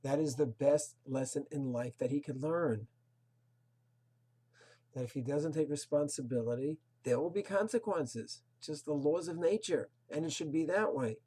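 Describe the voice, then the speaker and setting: A rabbi delivers a Torah lesson in a husky, slightly breathy voice. His tone is dark and mellow, earnest and moralizing, and he leans on rhetorical questions and Hebrew terms. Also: fairly high pitch, normal speed. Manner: sprinkles Hebrew and Yiddish terms. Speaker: rabbi teaching a Torah class. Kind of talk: recorded religious lecture.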